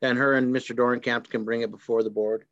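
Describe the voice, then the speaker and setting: A man's voice: medium pitch, little pitch movement, fast talker, fairly bright tone, dry recording. A man chairing a county meeting, easygoing and rambling as he moves the business along. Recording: dull, dry close sound.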